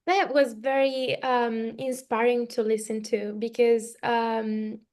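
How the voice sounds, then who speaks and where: A woman's voice: on the high side, flat delivery, slow, darkish tone. A woman from Italy, a native Italian speaker with an Italian accent, hosting a casual, reflective podcast conversation. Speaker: podcast host.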